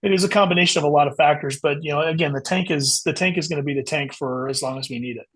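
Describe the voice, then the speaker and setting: A man speaking as an author guest in a casual podcast interview, haltingly thinking aloud as he talks. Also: on the high side, a bit bright, breathy edge.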